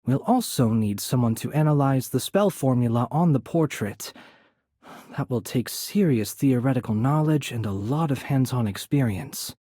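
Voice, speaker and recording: A man playing a courteous, composed young noble mage in a video game, soft-spoken and slightly breathy, with polite, measured, formal phrasing. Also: medium pitch, expressive, no room sound, clean studio sound, lightly acted. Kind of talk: video game character voice acting.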